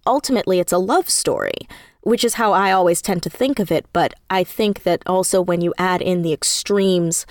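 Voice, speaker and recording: Low-pitched woman chatting, close dry sound. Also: moderate pace, no room sound. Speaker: interview guest, audio drama writer. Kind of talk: casual interview chat.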